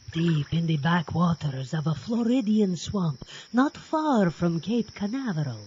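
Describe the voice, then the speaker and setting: Theatrical woman horror host, very drawn-out delivery. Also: mid-range voice, slight background noise. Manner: campy, melodramatic. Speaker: horror show host and narrator. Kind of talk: theatrical scripted horror-show narration. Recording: muffled lo-fi, loud music behind.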